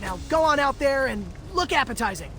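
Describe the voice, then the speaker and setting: A man playing an earnest, anxious but decisive teenage mutant turtle leader in an animated game. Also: very high-pitched, a little fast, a little hiss or hum, full character voice. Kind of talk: animated video game acting.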